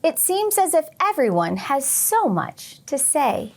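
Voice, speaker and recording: A woman teacher reading a picture book aloud to young children expressively, pausing for short teaching asides. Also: high voice, very animated. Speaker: teacher and read-aloud host.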